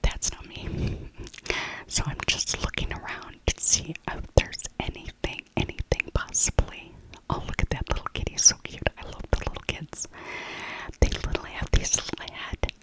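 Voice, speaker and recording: Woman, breathy whisper, ASMR voiceover, gentle, casual rambling, repetitive musing phrases. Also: moderate pace, poor audio. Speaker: ASMR creator narrating a shopping trip.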